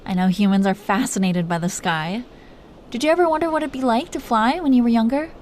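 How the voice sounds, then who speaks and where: A woman's voice: a little high, brisk, darkish tone, a little hiss or hum, lightly acted. A woman playing a protective, doting dragon queen who teases her lover tenderly in an intimate roleplay. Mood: wistful, affectionate. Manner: tender, playfully teasing, breathy whispers at times. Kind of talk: intimate roleplay audio, soft acting.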